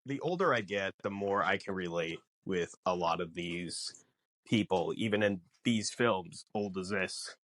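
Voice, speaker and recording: A man's voice: low voice, measured pace, fairly bright tone, dry recording. A man co-hosting a podcast, explaining things casually in an emphatic, rambling way. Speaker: podcast co-host. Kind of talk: casual film discussion podcast.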